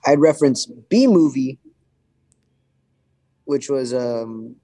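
A man's voice: medium pitch, lively intonation, slow, mellow. A young adult man, a musician, chatting casually about his album, rambling with lots of fillers and humming guitar parts aloud. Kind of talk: casual podcast chat.

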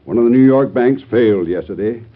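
A man's voice: medium pitch, fairly quick, a little hiss or hum, close to an everyday voice. An American man playing a formal, grave Treasury Secretary in an old-time radio drama. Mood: grave, urgent. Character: grave Treasury Secretary bringing bad news. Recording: tinny old radio show recording.

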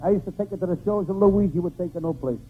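Brash high-voiced radio comic. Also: male voice, fast talker. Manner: brash, excitable wisecracking. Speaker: comedian. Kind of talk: 1940s radio comedy. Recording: muffled old radio broadcast with hiss.

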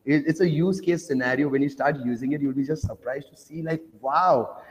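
A man's voice: on the high side, a little fast, big-room sound, a little hiss or hum, poor audio. A man from Oman, a guest on a casual panel chat, telling stories eagerly with loose grammar.

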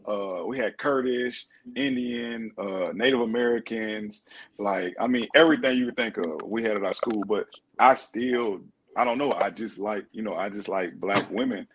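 A man in casual group chat, telling animated stories. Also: lowish voice, moderate pace, husky. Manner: animated storytelling, acts out quoted voices.

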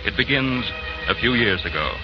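A man's voice: medium pitch, expressive, moderate pace, dull audio. A man narrating a 1940s radio broadcast as announcer, in a formal, solemn manner. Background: music.

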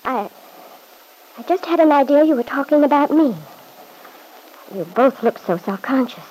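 A woman's voice: high voice, expressive, normal speed, a little mellow. An actress playing an emotional, suspicious young woman, dramatic and hesitant, in an old-time radio serial. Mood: anxious suspicion. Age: young adult. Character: emotional, suspicious, self-absorbed young woman, former touring performer. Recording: faintly noisy old radio recording.

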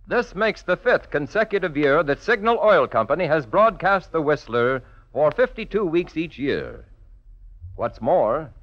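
A man delivering a polished, promotional scripted announcement in the style of a 1940s radio sponsor spot. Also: medium pitch. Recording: dull vintage radio recording.

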